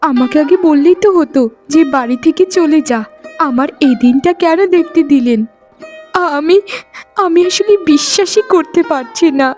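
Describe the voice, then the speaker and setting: A woman voicing a meek, heartbroken wife in a cartoon melodrama, tearful and halting, repeating her words as she pleads. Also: high voice, a little mellow. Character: meek, wronged, heartbroken wife, forgiving and humble.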